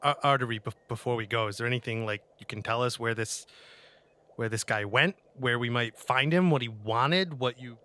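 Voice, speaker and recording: Bright, clear-voiced man playing a tabletop game. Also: medium pitch, moderate pace. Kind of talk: casual improvised game chat.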